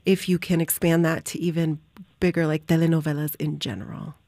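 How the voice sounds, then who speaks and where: A woman's voice: low-pitched, flat delivery, fairly quick, dark tone, no echo, studio quality. A woman hosting an interview, asking questions in an enthusiastic, chatty way. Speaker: podcast host. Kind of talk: casual interview.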